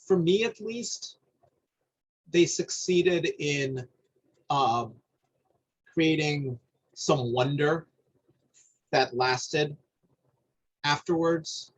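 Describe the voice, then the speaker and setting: Middle-aged guy from new jersey, kinda husky, stops and restarts a lot, muffled lo-fi sound. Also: fairly high pitch. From New Jersey, USA. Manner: thoughtful, halting, repeats words while searching. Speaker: guest. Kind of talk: reflective conversation.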